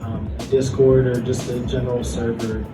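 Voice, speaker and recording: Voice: mid-range voice, flat delivery, moderate pace. A convention panelist chatting and telling stories in a playful, informal way. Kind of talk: casual panel storytelling and chat. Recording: echoey panel room, distant microphone, music.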